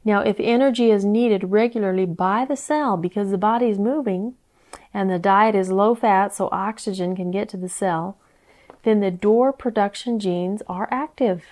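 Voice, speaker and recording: Female health lecturer, mid-pitched, explanatory delivery, plain-spoken and didactic. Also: warm tone.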